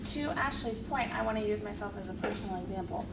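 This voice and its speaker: A woman's voice: mid-range voice, fast talker, vocal fry. A woman participating in a classroom workshop, sharing a personal example earnestly and casually. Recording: distant roomy classroom mic with noise.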